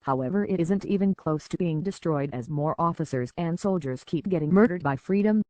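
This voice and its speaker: A woman reading a scripted news report as an anchor, in a formal, measured newsreader delivery. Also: on the low side, dark tone, no room sound. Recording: dull, lo-fi, dry audio.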